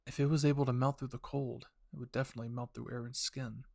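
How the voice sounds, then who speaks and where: A man's voice: medium pitch, flat delivery, quick pace, gravelly, a little mellow, dry recording, clean studio sound. A man narrating a scripted action story with an intense, dramatic delivery. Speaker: fan fiction narrator.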